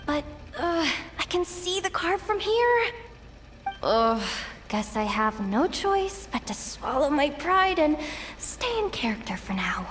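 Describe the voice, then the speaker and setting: A woman's voice: high-pitched, very animated, slow pace, a bit bright, soft background music, exaggerated voice. A woman playing a feisty, self-reliant young hacker who mutters theatrically to herself in a video game. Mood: grudging, sneaky. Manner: exasperated, theatrical muttering and self pep talk. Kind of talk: animated video game voice acting.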